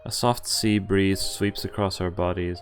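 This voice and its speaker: A man's voice: sits low, steady tone, unhurried. A man on a live game stream, reading visual novel text aloud and reacting casually in an understated way. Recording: game music playing behind. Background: video game music.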